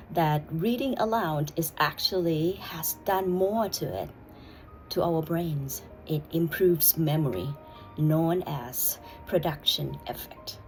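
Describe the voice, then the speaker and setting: Thai lady vlogging, low voice, slow and careful, bit whispery, faint music behind. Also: darkish tone, low-quality recording. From Thailand. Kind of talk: personal vlog with read-aloud passage.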